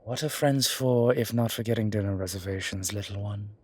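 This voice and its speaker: Male actor as a sardonic vampire deacon for roleplay audio: lowish, husky, theatrical, protective and dryly witty. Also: fairly quick, a little mellow. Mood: flirtatious, playful. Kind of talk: ASMR roleplay audio drama addressed to the listener.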